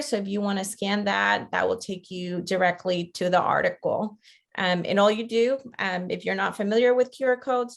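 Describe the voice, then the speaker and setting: A woman's voice: mid-range voice, creaky. Woman presenting a webinar. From Costa Rica. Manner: conversational, checks in often with "right?". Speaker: webinar presenter, teacher educator. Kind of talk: interactive online lecture.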